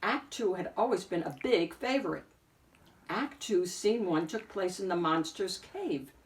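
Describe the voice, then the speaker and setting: A woman reading a picture book aloud as an expressive narrator, phrasing deliberately with emphatic swells. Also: on the low side, distant mic. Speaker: picture book reader and host.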